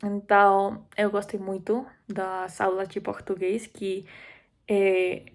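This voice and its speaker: A young woman speaks Portuguese in a steady, even tone. Her pitch barely moves, and she often pauses on "eh" as she works through her thoughts. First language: Spanish. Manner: steady, with many "eh" fillers. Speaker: university student. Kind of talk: class video talk.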